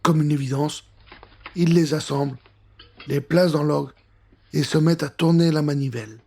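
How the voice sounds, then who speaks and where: A man narrating a scripted children's adventure story in an expressive, suspenseful way. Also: fairly high pitch, moderate pace, creaky.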